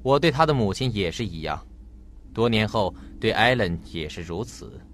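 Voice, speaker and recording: A man's voice: fairly low pitch, expressive, moderate pace, fairly bright tone, a little hiss or hum. An actor playing a paternal, somewhat uneasy middle-aged husband and father in a radio drama. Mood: reassuring, fatherly.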